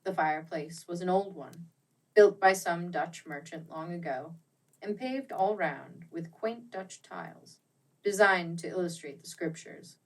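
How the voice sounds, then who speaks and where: A woman narrating a classic ghost story as an audiobook, with theatrical, expressive and deliberate phrasing. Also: on the low side, distant mic, rough recording. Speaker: audiobook reader.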